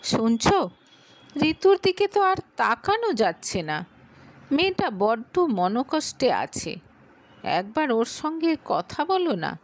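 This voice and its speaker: Woman acting a coaxing, scheming sister-in-law, lively pitch. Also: slow pace, dry recording, heavily acted. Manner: coaxing, feigned concern. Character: scheming, sweet-talking young married sister-in-law.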